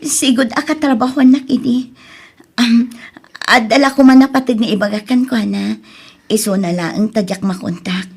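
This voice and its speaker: An actress playing a soft-hearted young woman in love in an Ilocano radio drama, with an Ilocano accent, speaking emotionally and hesitantly in a breathy voice. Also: on the high side, moderate pace. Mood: hesitant, shaken.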